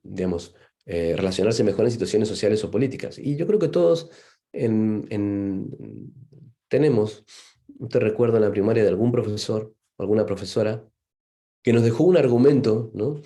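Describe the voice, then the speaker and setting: A man's voice: lowish voice, animated, normal speed, warm tone. A man from Argentina with an Argentine accent, giving a seminar lecture as a psychologist, thoughtful and full of fillers and "¿no?" tags. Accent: Argentine Spanish.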